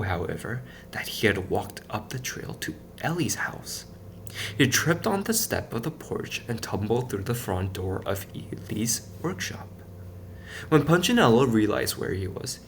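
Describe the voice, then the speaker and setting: A man reading a children's story aloud with expressive, dramatic emphasis. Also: lowish voice, a little hiss or hum. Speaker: storybook reader.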